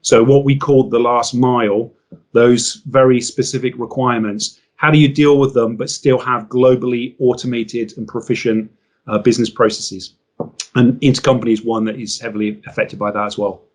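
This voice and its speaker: A man's voice: mid-range voice, steady tone, moderate pace, a little mellow. Articulate man explaining as interview guest. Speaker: interview guest, business consultant. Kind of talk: business interview, explanatory talk.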